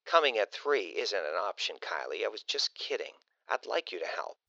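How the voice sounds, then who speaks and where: Man voicing a sarcastic mother, bright and pointed. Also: lowish voice, big pitch swings, normal speed, creaky, no echo, lo-fi sound, heavily acted. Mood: dry. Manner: dry, pointed, questioning. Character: sarcastic, controlling middle-aged mother.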